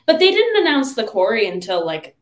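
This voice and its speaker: A woman's voice: fairly high pitch, wildly swooping pitch, brisk. A woman talking casually about games as a podcast panelist. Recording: roomy, echoing sound.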